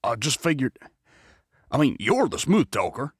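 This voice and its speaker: An actor playing a cautious, sheepish male outlaw in an audio drama, with a husky voice. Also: medium pitch, big pitch swings, moderate pace, no room sound, clean studio sound. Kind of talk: dramatic dialogue in fiction podcast.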